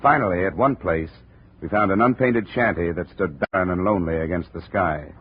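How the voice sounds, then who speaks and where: An actor playing a brisk, dry American insurance investigator who also narrates a 1950s radio drama. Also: male voice, low voice, slight background noise, natural voice. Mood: dry, narrating. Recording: narrow, muffled old radio recording.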